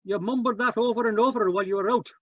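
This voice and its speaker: An actor playing a lively old Scotsman with a Scottish accent in an old-time radio detective play. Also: high-pitched, fast talker, dry recording, muffled recording. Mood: intrigued. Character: genuine old Scotsman who loves life. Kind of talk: 1940s radio detective drama acting.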